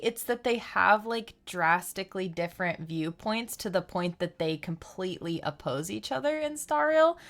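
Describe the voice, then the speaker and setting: A woman's voice: medium pitch, a bit bright. A woman chatting casually on a live game stream, explaining things in a rambling, chatty way over game music.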